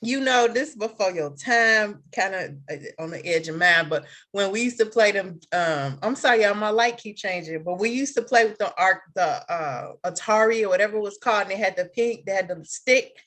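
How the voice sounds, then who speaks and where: A woman in casual chat recapping a TV episode, animated and acting out the characters' lines as she goes. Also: medium pitch, normal speed, hardly any pauses. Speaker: co-host of a TV show review.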